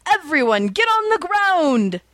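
A woman acts out an amateur storybook bank robber, a panicky man. The delivery is urgent and raised high, on a dry recording. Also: sing-song, a little slow, a bit bright, no echo, exaggerated voice. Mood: commanding.

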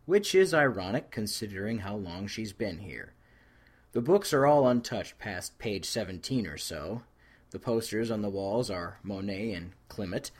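A male narrator reads a story with a somewhat low voice. His pitch moves widely and expressively from phrase to phrase, as in an audiobook. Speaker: fan fiction reader.

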